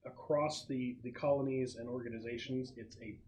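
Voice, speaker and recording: A man acting as game master, explaining a tabletop roleplaying game to his players in a halting, explanatory way. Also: medium pitch, fairly even intonation, a little mellow. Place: room at a gaming table with friends. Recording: clear background noise with clicks.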